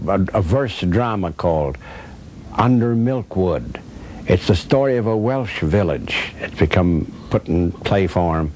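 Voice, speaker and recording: An older man speaks in a low register with noticeable noise around him. He reminisces and reflects as if in an interview, circling back to repeat his key phrases for emphasis. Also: a little mellow. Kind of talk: reflective, conversational interview storytelling. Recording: clear background noise and ambience.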